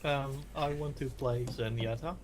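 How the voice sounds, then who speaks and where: A man playing as a teammate, calling out in-game moves with an energetic manner. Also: mid-range voice, slight background noise. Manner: energetic, quick callouts. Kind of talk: casual gaming voice chat.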